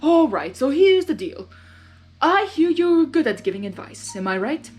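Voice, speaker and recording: A woman's voice: high voice, big pitch swings, normal speed, a little mellow, voice pushed up. A woman voicing a petulant, self-important male chaos creature in a story, melodramatic and exasperated. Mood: cocky.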